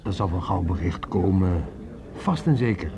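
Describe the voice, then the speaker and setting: An actor playing a weary, brooding businessman who narrates wartime memories in a reflective, measured way for a radio drama. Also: deep voice, lively intonation, crowd noise. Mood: concerned, consoling.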